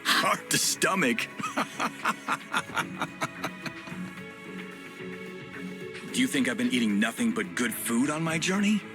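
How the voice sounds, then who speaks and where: A man's voice: mid-range voice, sing-song, takes it slowly. An actor playing a smug, cruel tiger villain in a video game, grandiose and playful, savouring his words. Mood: bitter, menacing. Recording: loud music behind voice.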